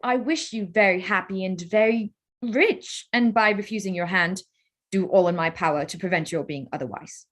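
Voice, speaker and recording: Woman acting a frank, self-possessed young gentlewoman. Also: medium pitch, moderate pace, lightly acted. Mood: firm, exasperated. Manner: firm, polite, formal. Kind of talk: staged reading of a period drama.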